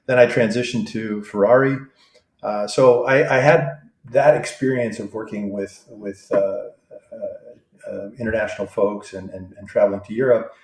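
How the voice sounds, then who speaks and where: A man in his sixties answering interview questions in a thoughtful, halting way, with many fillers and restarts. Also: lowish voice, darkish tone. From born in Vietnam, raised abroad. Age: 60s. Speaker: guest. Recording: roomy echo.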